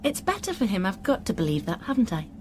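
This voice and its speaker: A woman's voice: mid-range voice, big pitch swings, fast, darkish tone, natural voice. An actress playing a guarded, defensive young woman under suspicion in a radio drama. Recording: clear background noise.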